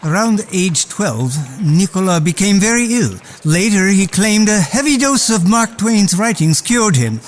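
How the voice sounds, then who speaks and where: An actor playing a parent fondly recalling a gifted child in animated radio drama narration. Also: mid-range voice, a little slow, few pauses. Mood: reflective. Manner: reminiscing, animated. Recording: faint music behind the voice. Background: faint music.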